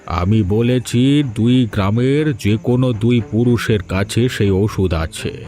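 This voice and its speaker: Man voicing a deep, stern mystical village guardian. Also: takes it slowly, dark tone, soft background music. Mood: solemn, assured. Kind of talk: dramatic dubbed cartoon dialogue.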